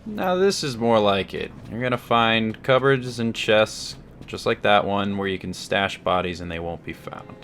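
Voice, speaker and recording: A man with a fairly low voice reviews a video game over gameplay. His commentary is wry and a little hesitant, with faint noise and ambience behind him. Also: expressive, a little slow, hardly any pauses, fairly bright tone.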